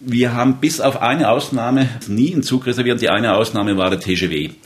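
A man's voice: medium pitch, a little fast. A man in his fifties from southern Baden in Germany, telling stories conversationally as an interviewee. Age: 50s. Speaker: interviewee recalling rail travels. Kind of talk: conversational storytelling.

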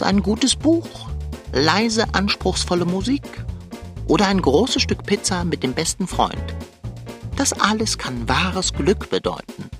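A woman voicing a fussy, haughty male tomcat in a children's radio play, with prim, pompous wording. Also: fairly low pitch.

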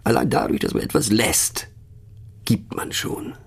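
Radio-play narrator: low-pitched gravelly eccentric, wry and rambling, conspiratorial, halting delivery. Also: male voice, very animated, heavily acted. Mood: musing, hushed. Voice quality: gravelly, husky. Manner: conspiratorial, halting, often dropping to a near whisper. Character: musing, mocking, eccentric male narrator, rambling and self-doubting. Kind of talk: satirical radio monologue addressing the listener.

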